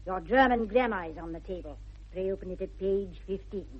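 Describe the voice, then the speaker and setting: A woman's voice: medium pitch, fairly quick, slightly rough, natural voice. A woman acting a prim, severe, self-important governess with an English accent, lecturing in a 1960s radio comedy. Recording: muffled vintage radio recording.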